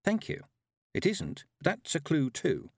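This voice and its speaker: A man's voice: a little high, huge pitch swings, unhurried, breathy edge, no room sound, clean studio sound, pitched above the performer's own voice, exaggerated voice. A man voicing a determined young witch girl in a storybook, speaking in an earnest, insistent way. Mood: hurried insistence. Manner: insistent, emphatic.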